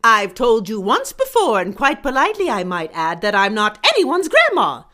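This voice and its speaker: A woman's voice: a little high, wildly swooping pitch, normal speed, sharp tone, exaggerated voice. A woman voicing a polite, plucky old auntie fish, folksy and prim, in a cartoonish western audio drama. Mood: prim, feisty.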